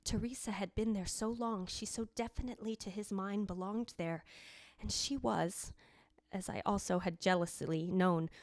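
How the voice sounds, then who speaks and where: A woman plays the ghost of a dead wife, narrating a radio play in a dry, close sound. She is jealous and anguished, with a medium-pitched voice and a deliberate, theatrical, literary delivery. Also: darkish tone, no echo, close to an everyday voice. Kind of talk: old-time radio drama narration.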